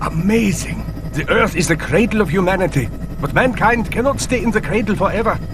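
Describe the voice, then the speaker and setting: An actor playing a cultured German scientist in a video game, with a German accent and precise, wryly formal speech. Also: male voice, high-pitched, lively intonation, fairly quick, background noise. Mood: pleased, wry. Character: wry, cultured German male scientist, calm aide. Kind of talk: video game cutscene acting. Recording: loud music over the voice.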